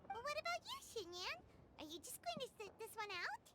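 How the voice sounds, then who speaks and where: Squeaky, excitable tiny fairy girl companion. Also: fairly quick, background noise. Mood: curious, prodding. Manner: chirpy, exclamatory. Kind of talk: game cutscene dialogue.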